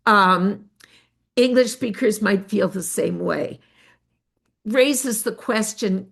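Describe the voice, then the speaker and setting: An older woman researcher giving an academic presentation of study findings, measured and pausing often with fillers. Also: medium pitch, creaky, a bit bright, husky. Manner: measured, with frequent filler pauses.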